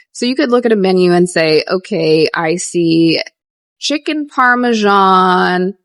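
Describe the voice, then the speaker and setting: A young adult woman coaching viewers on a livestream, earnest and repetitive, answering questions with lively rises. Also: fairly low pitch, no room sound. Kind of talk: casual livestream teaching, reading viewer questions.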